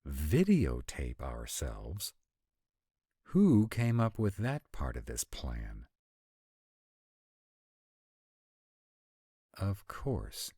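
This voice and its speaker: A man's voice: rumbling low, warm tone, husky, dry recording, studio quality, heavily acted. A man playing a grumpy, sardonic vampire in a scripted roleplay audio drama, sighing and exasperated in his delivery. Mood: irritated. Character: grumpy male vampire mate, sardonic and proud.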